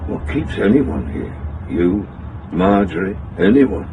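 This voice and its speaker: A man's voice: low-pitched, wildly swooping pitch, slow pace, vocal fry, dark tone, slight background noise, heavily acted. An actor playing a flash, breezy British businessman back in town, in a radio drama with a slightly dull recording. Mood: smug. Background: music.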